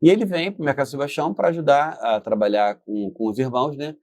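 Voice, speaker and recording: A middle-aged Brazilian man telling stories casually as a guest, haltingly, repeating himself and often checking for agreement. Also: mid-range voice, a little mellow, dry recording. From Brazil, born in Espírito Santo. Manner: halting, repetitive, often seeks agreement with tag questions. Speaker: interview guest, business founder. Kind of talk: casual storytelling in conversation.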